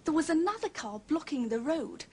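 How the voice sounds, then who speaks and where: Plucky posh schoolgirl, high voice, upper-class English accent, jolly, confident, chatty, period drama. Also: sing-song, normal speed, slight background noise. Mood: earnest storytelling. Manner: jolly, confident, matter-of-fact storytelling. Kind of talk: period detective TV drama acting.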